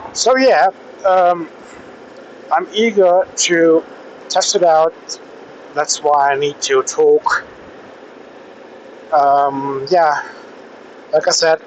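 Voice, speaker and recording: A man talking casually and haltingly, with pauses, as he vlogs from a motorcycle through a helmet intercom microphone. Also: fairly high pitch, rough recording. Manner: hesitant, halting delivery with pauses. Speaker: motorcycle vlogger testing gear. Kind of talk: casual vlog talk while riding. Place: outdoors, riding a motorcycle in traffic. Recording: helmet intercom with faint vehicle and air noise.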